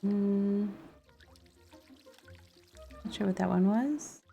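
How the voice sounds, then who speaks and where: A woman streaming a game talks slowly, with a creaky edge to her voice. She muses out loud in a casual way, and music plays behind her. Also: medium pitch. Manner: thinks aloud, musing to herself. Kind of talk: casual live gameplay commentary. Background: background music and game sound.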